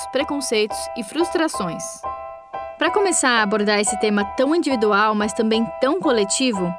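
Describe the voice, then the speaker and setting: A woman in her twenties, a podcast host, delivering measured scripted narration. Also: sits high. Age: 20s. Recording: music bed under voice.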